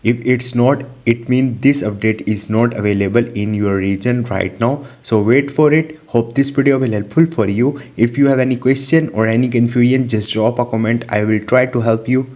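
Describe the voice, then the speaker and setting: A man's voice: medium pitch, steady tone, moderate pace, hardly any pauses, a little mellow. A man narrating a short how-to tutorial in an instructional, step-by-step way, with non-native English phrasing. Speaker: tutorial host.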